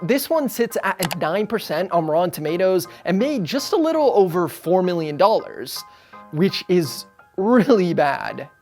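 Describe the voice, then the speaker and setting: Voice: medium pitch, sing-song, measured pace, darkish tone. Casual video essay narrator over music. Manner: casual, conversational phrasing. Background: background music.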